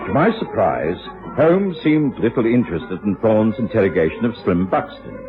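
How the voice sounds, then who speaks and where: An actor playing a genteel English gentleman who narrates a detective story in a vintage radio drama, articulate and measured, with an English accent. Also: fairly low pitch, very animated. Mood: composed, recounting. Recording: tinny old radio recording, music behind.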